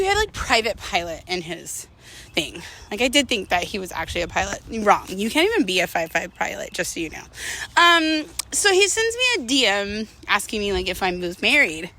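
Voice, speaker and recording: A woman's voice: mid-range voice, pushed and bright, rough recording. A middle-aged woman telling a personal story casually, in a candid, chatty, rambling way peppered with "like" and "you know". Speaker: storytelling vlogger.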